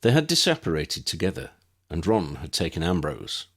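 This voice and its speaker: Deep-voiced man narrating, very lively pitch. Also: normal speed. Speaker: audiobook narrator. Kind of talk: scripted fiction narration with character voices.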